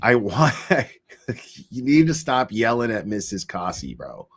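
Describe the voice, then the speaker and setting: A man's voice: on the low side, very animated, takes it slowly, husky. A man hosting a live show, commenting casually on a court hearing, laughing often, swearing freely and mimicking people.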